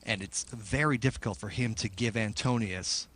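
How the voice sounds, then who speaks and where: A man's voice: on the low side, normal speed, a bit bright. A man giving analysis during a televised poker broadcast, explaining each player's hand and odds.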